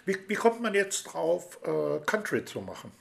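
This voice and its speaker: Chatty male interviewer, slightly high voice. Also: big pitch swings, moderate pace, vocal fry. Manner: friendly, rambling questions with many fillers. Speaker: interview host. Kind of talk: chatty radio-style interview.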